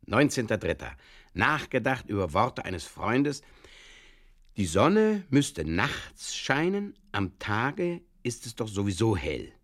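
A man playing a despairing drinker who reads out absurd diary entries in terse, deadpan fragments, in a comic audio drama. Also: lowish voice, takes it slowly, heavily acted. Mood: glum deadpan.

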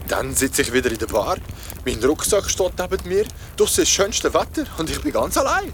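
A man's voice: on the high side, sing-song, a little fast, vocal fry, slight background noise. A young man playing a bewildered, shaken young man in a horror audio drama, hesitant and uneasy as he speaks. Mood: uneasy recounting. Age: young adult. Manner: hesitant, stammering when confused. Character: bewildered, hurting young man recounting a nightmare job.

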